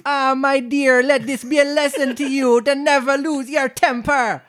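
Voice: high voice, steady tone, normal speed, fairly bright tone, no echo, studio quality, voice pushed up. A reader voicing an old mother crab with a Jamaican accent, lecturing her daughter. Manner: motherly, preachy.

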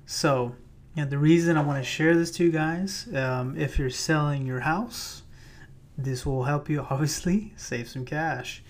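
A man hosting his own podcast in a loose, chatty way with many fillers, his voice a little raspy. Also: a little high, unhurried. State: slightly raspy voice. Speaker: podcast host and realtor. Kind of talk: casual solo podcast talk. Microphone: plugged-in microphone.

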